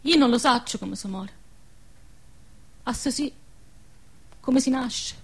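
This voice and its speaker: A protective peasant woman caught in the ruins of war. Her voice is very high, plaintive and insistent, recorded close and dry. The style is emotional radio theatre in central-southern Italian dialect. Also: slow pace, breathy edge, dry recording, exaggerated voice. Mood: urgent scolding.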